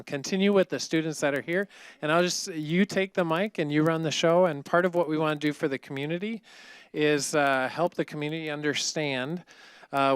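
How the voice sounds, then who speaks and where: A man speaking as a school district administrator at a board meeting, talking in a measured way with frequent fillers. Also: a little high, clear, resonant tone, dry recording. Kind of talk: formal meeting remarks.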